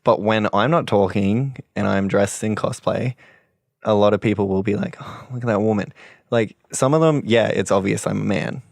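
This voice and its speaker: Young guy w a deep gravelly voice, rambles passionately, lots of likes, dry mic. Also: normal speed, dry recording. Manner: passionate, rambling, many fillers. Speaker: podcast co-host. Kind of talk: casual podcast chat.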